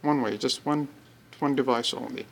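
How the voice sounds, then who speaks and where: A man giving an informal, unscripted product demonstration, speaking haltingly in short pieced-together bursts. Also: mid-range voice, vocal fry, slight background noise. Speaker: app demonstrator.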